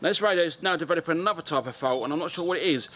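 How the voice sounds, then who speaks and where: Higher-pitched English man, casual outdoor demo. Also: racing, fairly bright tone. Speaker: amateur radio video host. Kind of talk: casual product demonstration. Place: outdoors in a garden and on a street. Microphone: camera mic, arm's length or further. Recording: outdoor camera audio with background noise.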